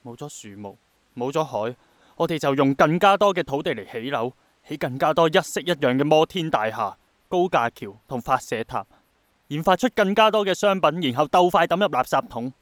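An actor playing an idealistic, gentle young man in a radio drama, reflective and earnest, with a Hong Kong accent. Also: fairly high pitch, animated, moderate pace, no echo, close to an everyday voice. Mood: wistful, earnest. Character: idealistic, persistent young man longing for rain to return.

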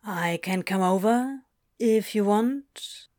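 A woman voicing a laid-back teenage boy who talks in a low, unhurried drawl. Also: a little mellow, no echo, clean studio sound, close to an everyday voice. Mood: shy.

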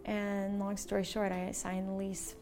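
A woman's voice: medium pitch, monotone, unhurried, a little mellow, soft background music. An American woman speaking casually and enthusiastically in an interview as a small business owner. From born in Slovakia, moved to the United States at seven. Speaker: small business owner, textile designer and shopkeeper.

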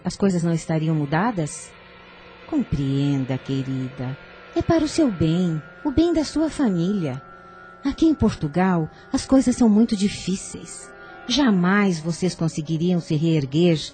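A woman playing a firm, persuasive older aunt in a radio soap opera, genteel, formal and measured. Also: lowish voice, animated, dark tone, faint music behind. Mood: firm, insistent.

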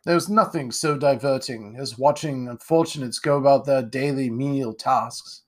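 A man's voice: medium pitch, normal speed, lightly acted. A man voicing a pompous, condescending wealthy nobleman with a grand, theatrical manner in a storybook reading. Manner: grand, theatrical, plummy delivery.

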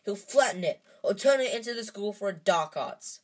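A woman voicing a desperate eleven-year-old boy wizard who shouts and pleads at his friends. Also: medium pitch, lively intonation, normal speed, voice pushed up, lightly acted. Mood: furious shouting.

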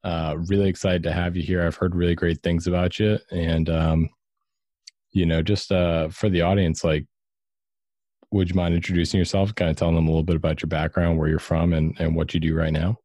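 A man's voice: low-pitched, little pitch movement, a little fast, dark tone, dry recording. A man hosting a podcast, asking friendly questions with lots of fillers. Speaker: podcast host. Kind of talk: casual interview questions.

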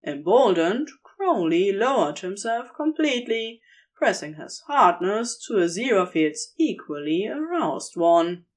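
Female narrator for romantic audio fiction, unhurried delivery with lively melodic swings. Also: mid-range voice. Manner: slow, measured, expressive reading. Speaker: audiobook-style podfic narrator. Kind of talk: scripted fiction narration, intimate storytelling.

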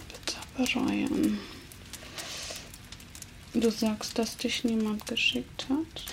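A woman voicing a teasing, menacing female vampire captor in a soft, slow ASMR whisper. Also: mid-range voice, vocal fry. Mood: threatening. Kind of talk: ASMR roleplay. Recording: noisy hiss under close whisper.

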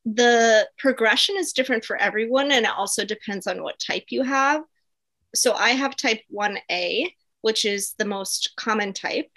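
A woman in her twenties, a podcast guest, explaining things in a relaxed way with frequent ums. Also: on the high side, normal speed. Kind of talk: casual interview answers.

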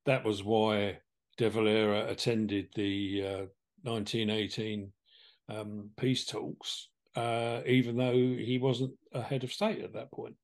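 Measured Englishman in discussion. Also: lowish voice, flat delivery. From England. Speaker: podcast co-host. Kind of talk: conversational discussion.

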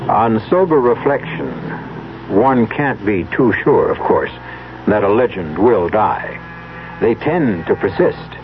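Low-voiced man hosting a radio mystery. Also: big pitch swings, slow pace. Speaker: radio drama host and narrator. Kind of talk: scripted dramatic narration. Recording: dull radio recording with music.

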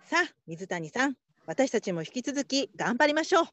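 An upbeat female team leader voiced by an actress, fairly high and very animated in pitch. She is brisk and encouraging, in radio-drama style, recorded close and dry. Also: no room sound. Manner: chivvying, upbeat.

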